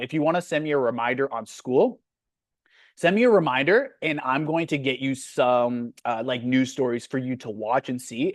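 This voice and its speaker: A man's voice: medium pitch, dry recording, clean studio sound. Blunt Canadian man coaching on a call. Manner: blunt, frank, with many fillers. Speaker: sales coach hosting a Q&A.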